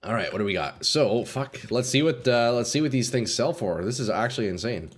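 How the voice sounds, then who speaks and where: A young man doing casual live commentary while playing a game, a bit congested and clearing his throat often, swearing and talking to chat. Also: medium pitch, expressive, brisk. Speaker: game streamer.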